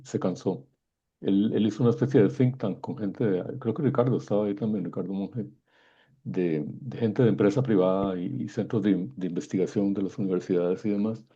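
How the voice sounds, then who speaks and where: A man in his sixties from Guatemala moderates with informal commentary. His voice is low, dark and rather husky. The recording is dull and lo-fi. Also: steady tone, a little fast. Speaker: event moderator. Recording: dull, muffled lo-fi connection.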